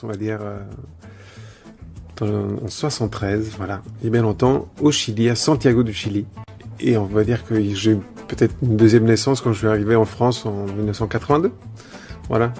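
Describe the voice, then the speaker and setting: A man's voice: mid-range voice, darkish tone. Reflective man recalling his birth. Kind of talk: casual interview answer.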